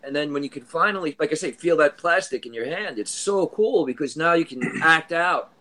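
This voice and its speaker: A man in his late thirties hosting a podcast, enthusiastic and halting with many restarts. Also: a little high, expressive, sharp tone. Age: 30s. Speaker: podcast host. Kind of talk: casual podcast chat.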